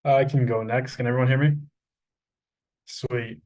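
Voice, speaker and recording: Guy from the bahamas on a video call, relaxed, sounds a bit far from the mic. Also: mid-range voice, measured pace, dull audio. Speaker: student panelist.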